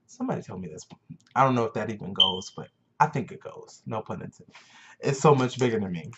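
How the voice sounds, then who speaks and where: A man's voice: on the low side, animated, a little fast, very noisy. Earnest young man, lo-fi recording. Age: 20s. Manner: earnest, searching, repeats phrases for emphasis. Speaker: vlogger. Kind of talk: motivational talk to camera.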